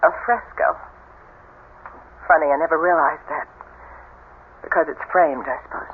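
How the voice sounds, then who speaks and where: A woman's voice: sits low, normal speed, natural voice. An actress as a dry, practical woman, tinny sound. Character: cool, practical sister of the master. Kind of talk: radio mystery acting. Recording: tinny, hissy old radio recording.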